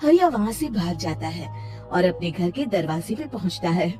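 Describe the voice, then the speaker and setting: A woman telling a cartoon folk tale with a lively, expressive lilt. Her tone is mellow and warm, with faint music beneath the narration. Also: on the low side, moderate pace. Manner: expressive storytelling. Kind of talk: scripted storybook narration.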